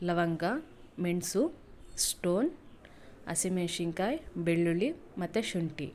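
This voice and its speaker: A woman from narrating a recipe step by step in a plain, instructional way, pausing between items. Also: on the low side, a little mellow. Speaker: home cook presenting a recipe. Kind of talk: step-by-step cooking tutorial narration. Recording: noisy home cooking video audio.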